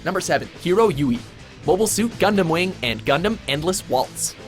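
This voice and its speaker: Fast-talking man narrating a countdown. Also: high voice, animated. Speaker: narrator of a top ten countdown. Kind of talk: scripted narration. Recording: music behind the voice. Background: background music.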